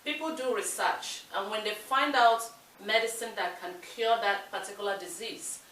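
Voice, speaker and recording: A woman's voice: medium pitch, moderate pace, fairly bright tone. Earnest Nigerian woman, echoey room. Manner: earnest, emphatic. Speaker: talk show co-host. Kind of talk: casual discussion. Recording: echoey room with light hiss, mic distant.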